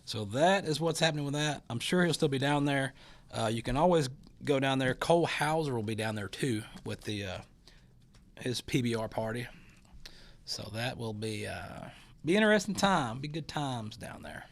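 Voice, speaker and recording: A man's voice: mid-range voice, animated, moderate pace. A man hosting a talk show, chatting casually about rodeo news and interviewing a guest in a rambling, folksy way full of fillers and restarts. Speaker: show host.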